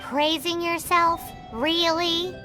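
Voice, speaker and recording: A woman voicing a tiny, childlike fairy companion in an anime-style video game, cheeky, excitable and blunt, with a squeaky voice. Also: slow, soft background music, exaggerated voice. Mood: indignant, teasing. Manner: speaks of self in third person, blunt teasing.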